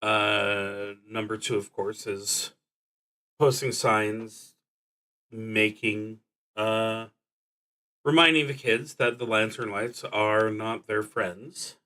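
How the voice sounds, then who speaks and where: A man streaming a solo roleplaying game, thinking aloud and reading out cards, often halting and trailing off as he works things out. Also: lowish voice, fairly even intonation, pushed and bright.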